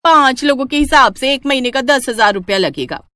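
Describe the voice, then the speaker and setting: A woman's voice: high voice, lively intonation, a little fast, bright, forceful tone, no echo, studio quality, voice pushed up. A woman voicing a wheedling, insistent housemaid full of excuses in a family story. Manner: insistent, pleading. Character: wheedling, excuse-making housemaid demanding money.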